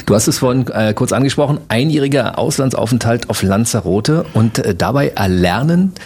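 A man's voice: medium pitch, lively intonation, a little fast, darkish tone, slightly rough. A man from Berlin hosting an interview, teasing his guest and laughing often. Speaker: host. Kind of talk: casual interview.

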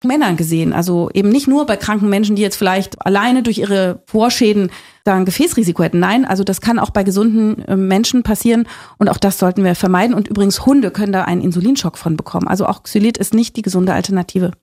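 A woman in a mid range gives a guest's explanations in interview talk. She speaks briskly and informally and slips in jokes. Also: mid-range voice, darkish tone. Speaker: nutrition doctor and author, guest.

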